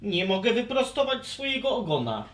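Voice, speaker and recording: A woman's voice: mid-range voice, very animated, moderate pace, echoey room, lightly acted. A woman playing a young, playful, hot-tempered male dragon in a children's audio story, with theatrical exclamations. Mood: fed up.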